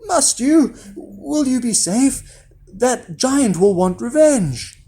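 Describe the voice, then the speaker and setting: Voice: mid-range voice, expressive, takes it slowly, mellow, a little hiss or hum, pitched above the performer's own voice. A storyteller voicing a doting, anxious mother in a children's fairy tale.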